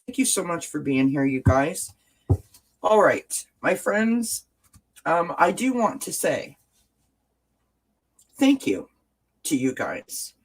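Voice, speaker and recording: A woman's voice: fairly low pitch, sing-song, slow, pauses often, slightly rough, poor audio. Stuffed-up woman hosting a livestream. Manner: greets chat viewers by name with terms of endearment; frequent filler words and restarts. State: stuffed-up nose. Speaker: livestream host. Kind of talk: casual livestream chat. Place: indoors at home, lights off, sunny window behind.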